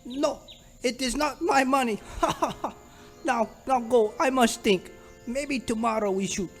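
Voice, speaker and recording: An actor playing a temperamental, artsy male film director in a parody radio serial, melodramatic and halting. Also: mid-range voice, soft background music, close to an everyday voice. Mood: dismissive, brooding. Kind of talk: parody old-time radio serial acting.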